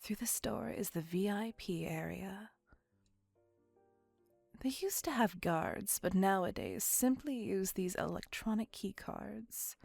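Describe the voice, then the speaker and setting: A woman plays an ancient, courtly vampire lady in an intimate ASMR roleplay. Her voice is soft, breathy and edged with creak, half whispering. She takes her time over formal, old-world phrasing, refined and quietly possessive. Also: medium pitch, sing-song, no echo, soft background music, heavily acted. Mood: refined, reassuring. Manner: formal, archaic, measured phrasing with tag questions. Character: elegant, courtly, possessive centuries-old female vampire on a date.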